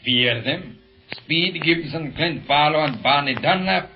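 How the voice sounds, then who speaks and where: Menacing muffled radio crime lord. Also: male voice, a little high, lively intonation, a little slow. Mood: gloating menace. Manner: theatrical, threatening. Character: menacing, boastful criminal mastermind, cold and domineering. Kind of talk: 1930s radio serial acting. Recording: muffled old radio recording, faint music.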